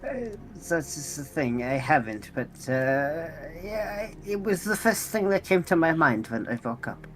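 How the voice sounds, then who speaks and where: A man voicing a polite, earnest robot adventurer with lost memory in a tabletop game, speaking formally and haltingly. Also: fairly high pitch, big pitch swings, soft background music, pitched above the performer's own voice. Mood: earnest, searching.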